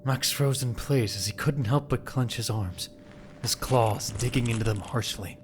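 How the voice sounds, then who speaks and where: A man's voice: medium pitch, normal speed, a little hiss or hum. A man reading scripted, dramatic narration for an audio drama.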